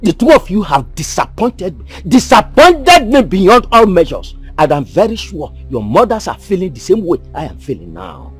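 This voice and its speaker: Stern film father: husky, fairly high voice, emphatic, Nigerian accent. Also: sing-song, moderate pace, hardly any pauses, faint music behind, full character voice. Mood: angry, scolding. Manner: emphatic rhetorical scolding. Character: stern, disappointed father of quarrelling daughters. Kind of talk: Nollywood film acting.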